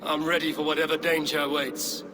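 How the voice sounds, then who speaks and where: An actor playing a calm, earnest Norse clan leader. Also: male voice, fairly high pitch, a little fast. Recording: game audio with ambient noise.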